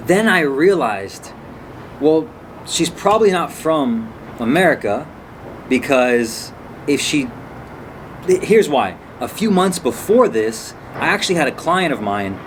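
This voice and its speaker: A man's voice: a little high, sing-song, unhurried. A man hosting a casual vlog, telling a story in a rambling, chatty way. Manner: rambling, chatty, restarts sentences. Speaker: vlog host. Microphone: camera on a phone or camera nearby. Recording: noisy background.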